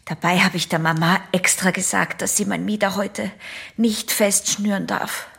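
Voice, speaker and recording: A woman's voice: medium pitch, fairly even intonation, moderate pace, vocal fry, a bit bright, breathy edge, full character voice. An actress playing an anxious teenage girl patient in a German radio play, hesitant, secretive and intense. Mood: pained.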